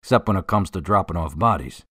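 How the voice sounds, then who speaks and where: Man playing polite no-nonsense cop in radio play, lowish voice that moves a lot, direct. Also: animated, fast, pushed and bright, no echo, studio quality, full character voice. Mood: businesslike. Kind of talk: radio drama acting.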